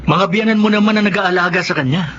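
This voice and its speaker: A man's voice: high voice, fast, a bit bright. A man playing a teasing, persuasive office colleague in a Filipino radio drama, with a Filipino accent. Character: reasoning, teasing male office colleague and friend. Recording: dull lo-fi audio with faint noise.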